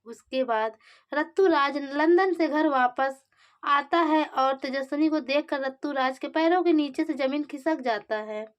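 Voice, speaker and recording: A woman narrates in a distinctly high voice. She reads scripted plot recap in a dramatic storytelling style, as a narrator of serial updates.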